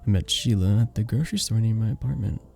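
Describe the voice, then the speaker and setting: A man voicing a charming, modest vampire bartender in a soft-spoken ASMR roleplay, with a gentle, polite and slightly formal manner. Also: fairly low pitch, quick pace, creaky, faint music behind. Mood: modest, amiable. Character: charming, sweet, modest young-looking male vampire bartender. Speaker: voice actor in an audio roleplay.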